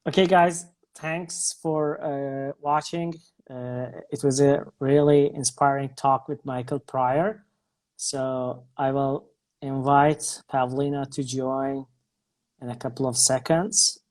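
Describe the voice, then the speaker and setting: Interview host speaking online. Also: male voice, medium pitch, steady tone, slow pace, a little mellow.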